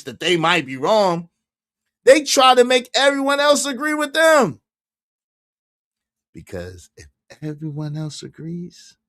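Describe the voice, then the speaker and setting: A man around fifty hosts a casual livestream from home. His voice is bright and sits a little high. He talks animatedly, laughing and reacting to viewers' comments. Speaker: livestream host.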